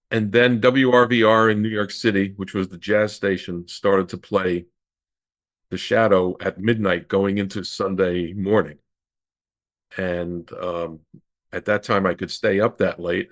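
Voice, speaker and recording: A man in his sixties answering as an expert guest, explaining haltingly with many pauses and repeats. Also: low voice. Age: 60s. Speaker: radio historian guest. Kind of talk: interview answers, recollection and explanation.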